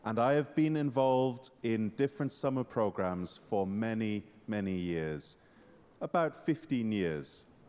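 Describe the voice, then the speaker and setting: A man's voice: fairly low pitch, lively intonation, unhurried, clean tone. A man from Austria, a university lecturer, giving a friendly, joking welcome speech. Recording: hall reverb.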